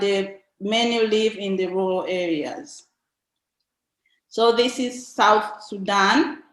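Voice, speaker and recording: Female presenter, Tanzanian accent, mid-pitched voice, unhurried and halting informative delivery with frequent pauses. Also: a bit bright. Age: young adult. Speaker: invited speaker, nun. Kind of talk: informative slide presentation talk. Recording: echoey, roomy sound.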